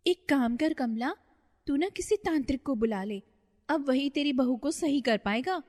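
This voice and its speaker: A woman's voice: high voice, lively intonation, moderate pace, darkish tone, long reverb tail, pitched above the performer's own voice. A woman voicing a meddling, gossipy neighbour giving persuasive advice in a cartoon story. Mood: scheming.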